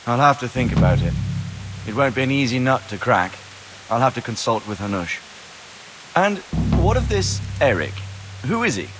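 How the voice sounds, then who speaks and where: Commanding lord with lively intonation. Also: male voice, medium pitch, a little hiss or hum, rough recording. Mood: troubled, grave. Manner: deliberate, commanding, formal. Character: authoritative, cautious older lord, fatherly and commanding. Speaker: voice actor in a video game. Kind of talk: dramatic video game dialogue.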